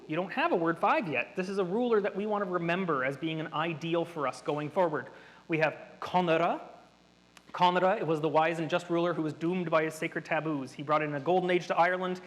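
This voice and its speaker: A man's voice: on the high side, fairly quick, fairly bright tone. A man running an interactive workshop, explaining things in a chatty, nerdy way to a room of participants. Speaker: workshop presenter. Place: hall with an audience. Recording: reverberant hall.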